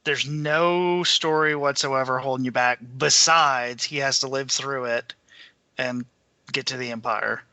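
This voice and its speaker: A man's voice: mid-range voice, steady tone, unhurried, bright, forceful tone, dry recording. A man co-hosting a casual podcast discussion of books among friends. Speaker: podcast co-host.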